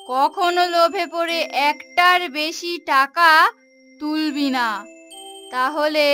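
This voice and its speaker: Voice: high-pitched, sharp tone, heavily acted. A performer voicing a solemn divine spirit who speaks in grand, commanding pronouncements in a fairy-tale cartoon. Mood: stern warning. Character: solemn divine dream spirit giving blessing and warning.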